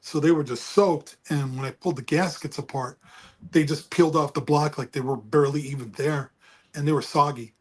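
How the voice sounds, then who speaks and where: A man with a booming voice chatting casually and rambling about engines over a remote call. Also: steady tone, fairly quick, slightly rough. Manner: rambling, folksy, restarts often. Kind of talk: casual car chat. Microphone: remote call with speaker feedback.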